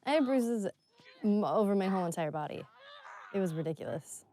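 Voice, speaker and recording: A woman talks in a middle-pitched voice about acting in a game. Her delivery is casual, conversational commentary among colleagues. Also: expressive, brisk, mellow, slight background noise. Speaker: game actress giving commentary.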